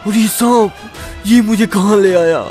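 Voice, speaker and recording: A man's voice: high voice, unhurried, a little mellow, soft background music. A man playing an eighteen-year-old son, a naive but determined hero, speaking emphatically in a melodramatic story. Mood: bewildered. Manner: dramatic, emphatic.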